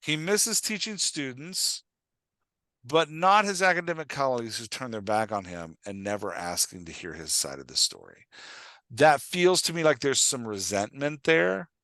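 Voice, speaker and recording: Male host-coach, medium pitch, conversational and animated, explains and checks in, very dry sound. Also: normal speed, dry recording. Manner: animated explainer, acts out characters' lines, checks understanding often. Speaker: podcast host and writing coach.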